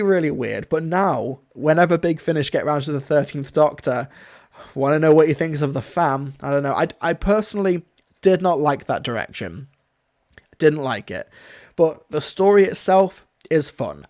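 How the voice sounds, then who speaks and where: A man's voice: a little high, darkish tone. A man giving a casual livestream review in an enthusiastic, conversational way, repeating words for emphasis.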